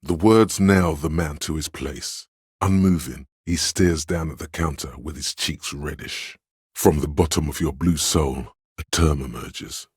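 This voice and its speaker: An actor playing the inner voice of authority in a video game, a proud, domineering man speaking with deliberate, imperious command. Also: a bass voice, darkish tone, slightly rough, no room sound, studio quality, exaggerated voice. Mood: stern, appraising. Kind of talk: scripted video game voice acting.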